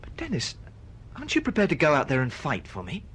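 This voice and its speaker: A man's voice: fairly high pitch, wildly swooping pitch, quick pace, fairly bright tone, a little hiss or hum. An actor playing an earnest, aggrieved male novelist in a radio drama, pleading and protesting insistently. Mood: desperate, defiant. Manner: earnest, pleading, swinging between protest and hesitation.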